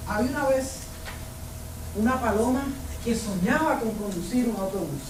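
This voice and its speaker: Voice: mid-range voice, lively intonation, brisk. Student from puerto rico, storybook reading, roomy echo, distant mic. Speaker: high school student. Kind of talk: storybook read-aloud. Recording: echoey room, distant mic, background noise.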